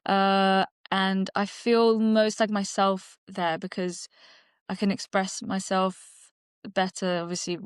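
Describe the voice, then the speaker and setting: Woman, podcast guest, reflective and halting, smooth clear tone, dry close mic. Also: medium pitch, flat delivery, dry recording. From United Kingdom. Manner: reflective, many fillers and restarts. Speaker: guest, dentist and singer-songwriter. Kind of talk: conversational interview.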